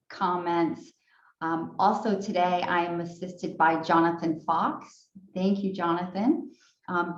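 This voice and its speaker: A woman's voice: mid-range voice, a little mellow. A woman hosting an online training session, speaking politely and steadily with plenty of "um"s. Her voice carries a roomy echo. The audio is a little dull and lo-fi. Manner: polite, measured, frequent fillers. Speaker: training director and moderator. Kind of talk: online training welcome and housekeeping. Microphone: video call. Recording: echoey room, dull lo-fi sound.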